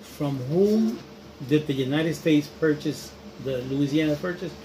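A man's voice: a little high, measured pace. A middle-aged man chatting casually as he answers quiz questions at a table at home, picked up by a camera a little way off. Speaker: quiz contestant, the dad. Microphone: camera a short distance away. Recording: noisy homemade video, camera microphone.

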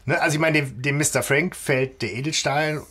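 A man's voice: fairly high pitch, steady tone, a little fast, fairly bright tone, slightly rough. A man co-hosting a podcast, chatting casually about an audio drama. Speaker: podcast co-host.

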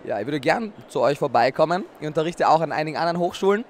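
A young man talks into a handheld mic at an indoor expo booth. His voice sits a little high, and he explains things fluently and articulately, often with a short chuckle before he answers. Faint background noise runs underneath. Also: lively intonation, racing. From Austria. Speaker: interview guest, nutrition expert. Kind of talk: casual interview answers.

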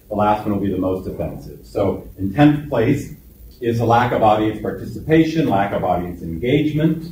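Trainer in an echoey room, heard from afar. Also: male voice, mid-range voice, darkish tone, slight background noise. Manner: upbeat, calls on trainees by name, slows down to stress points. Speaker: presentation skills trainer. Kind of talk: classroom workshop lecture. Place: large training room indoors. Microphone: room camera from a distance. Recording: echoey room, distant mic.

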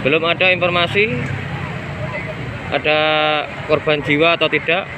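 A man holds his phone up outdoors at night and reports the scene to his viewers. He talks urgently and keeps repeating himself. His voice sits a little high, and the phone picks up loud street noise around him. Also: normal speed. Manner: urgent, repetitive, addresses viewers as friends. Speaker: citizen reporter vlogger. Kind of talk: on-the-spot phone video report. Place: outdoors at night by a mall fire, busy street. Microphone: phone camera held by the filmer.

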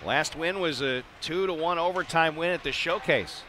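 A man's voice: on the high side, normal speed, pushed and bright, slight background noise. A man doing live play-by-play hockey commentary in clipped phrases with chatty asides. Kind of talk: live radio-style sports commentary. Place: indoor ice arena.

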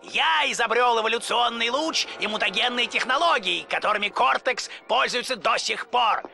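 A man's voice: very high-pitched, moderate pace, creaky, bright, forceful tone, exaggerated voice. An actor playing a nervous, twitchy mad scientist in a game dub, jittery and self-important.

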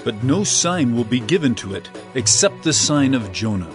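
A man's voice: medium pitch, very animated, moderate pace, over music, natural voice. An actor playing an earnest male religious teacher in a dramatized scripture reading, with emphatic delivery. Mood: stern.

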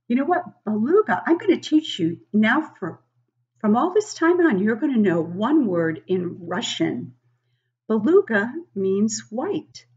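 A woman in her sixties reading a picture book aloud to young children, expressive and encouraging, stopping to put questions to them. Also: mid-range voice, highs cut off. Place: living room at home, indoors.

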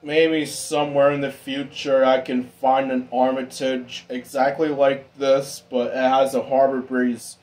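A man giving a casual, informal hobbyist demonstration in an unhurried, rambling way. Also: mid-range voice, darkish tone. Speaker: ceiling fan hobbyist vlogger. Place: indoor room with exposed pipes and ductwork.

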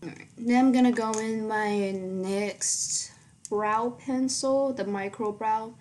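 Slow-talking teenage girl, rambling makeup chat. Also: fairly even intonation, darkish tone, a little hiss or hum. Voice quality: fairly low voice for her. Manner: chatty, rambling, many ums and likes. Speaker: beauty vlogger. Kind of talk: casual makeup tutorial chat. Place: small bedroom indoors.

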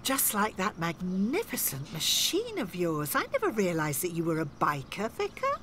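A woman's voice: on the low side, wildly swooping pitch, normal speed, fairly bright tone, a little hiss or hum. Jaunty, well-spoken older woman. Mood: brisk. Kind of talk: radio soap acting.

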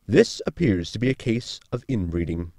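A man playing a pedantic, eccentric science officer, formal and polite, with a creaky voice, in a sci-fi comedy audio drama. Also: fairly low pitch, lively intonation, normal speed, dark tone, no room sound, clean studio sound. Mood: precise.

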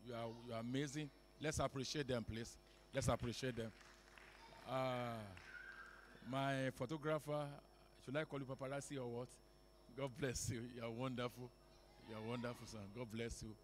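A man's voice: medium pitch, a little hiss or hum. Young man hosting a church memorial. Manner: earnest, repeating blessings and thanks. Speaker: church service host. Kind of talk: announcements and thanks at a memorial service. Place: large wood-panelled church hall. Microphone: handheld microphone.

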